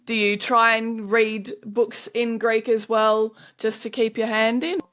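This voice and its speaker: A woman hosting a podcast, asking curious questions in a relaxed, amused way. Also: fairly high pitch, a little slow. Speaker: podcast host interviewer. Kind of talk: casual interview questions.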